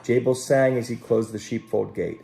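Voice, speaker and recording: A man reading a Bible story aloud to children in a gentle, unhurried way, pausing to address them directly as a Sunday school teacher. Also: medium pitch, fairly even intonation, vocal fry, a little hiss or hum.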